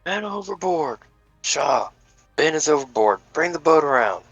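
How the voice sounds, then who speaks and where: A man reading the part of a gruff, protective older grandfather with a gravelly, husky voice in an amateur cartoon table read. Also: a little high, very animated, measured pace, a bit bright, no room sound, dull audio, faint music behind, full character voice. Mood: alarmed.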